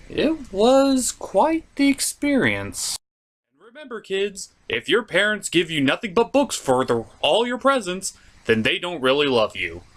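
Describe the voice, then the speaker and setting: A theatrical host narrates a comedic cartoon review. The pitch swings widely, and the delivery is sarcastic and mock-exasperated, with some swearing. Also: fairly bright tone. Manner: profane.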